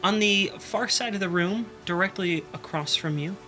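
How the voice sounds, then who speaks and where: Man game master, bright and a bit high, sniffly, unhurried scene-setting over faint music. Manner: descriptive, halting with fillers. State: sniffly from allergies. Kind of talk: narrating a tabletop game. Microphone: desk microphone.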